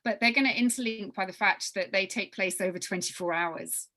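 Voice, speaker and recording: Woman workshop leader, bright forceful voice, thinks aloud and repeats phrases for emphasis. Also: medium pitch, brisk. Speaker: workshop leader briefing young writers. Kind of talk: informal spoken briefing with question and answer.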